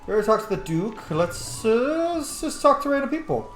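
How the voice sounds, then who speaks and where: A man's voice: very high-pitched, sing-song, fairly quick, echoey room, soft background music. A man giving casual, playful game commentary as host, reacting aloud with asides. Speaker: gaming video host and player.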